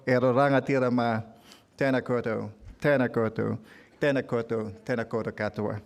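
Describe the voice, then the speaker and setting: A middle-aged man from the Philippines with a Filipino accent, giving a formal speech as a union leader at a convention, measured, lifting his voice for quotes and punchlines. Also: medium pitch. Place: large convention hall.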